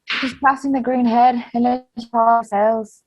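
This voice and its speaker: Woman acting gentle young country girl, high voice, dry sound, stage drama. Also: flat delivery, measured pace, no room sound, poor audio. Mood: anxious. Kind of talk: stage drama read as virtual play.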